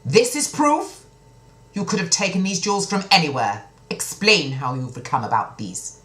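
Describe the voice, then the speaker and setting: A woman's voice: fairly low pitch, huge pitch swings, normal speed, a bit bright, voice pushed up. A woman voicing a stern, incredulous king and father who speaks in an imperious, emphatic way in a children's story.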